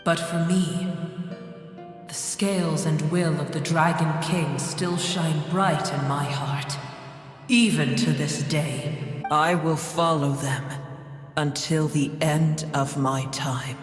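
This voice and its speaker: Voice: medium pitch, fairly even intonation, slow pace, close to an everyday voice. An actor playing an ancient, proud and bitter dragon in a video game, speaking in grave, solemn, formal phrases. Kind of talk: scripted video game dialogue. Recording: cavernous long reverb, music behind.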